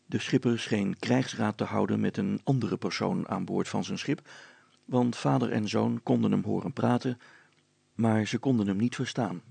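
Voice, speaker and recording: A man's voice: on the low side, moderate pace, gravelly, darkish tone, breathy edge, no echo. A man reading a children's story aloud as a scripted audiobook narration.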